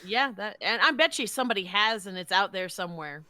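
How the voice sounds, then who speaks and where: A woman chatting casually as a podcast guest, expressive and effusive. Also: mid-range voice, fairly quick, no echo. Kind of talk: casual conversation.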